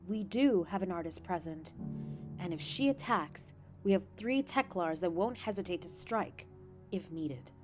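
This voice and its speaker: A woman acting a cool, guarded noble lady in an audio drama, measured and stern, with music behind her. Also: lowish voice, expressive. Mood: guarded, warning. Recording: clear music bed under voice.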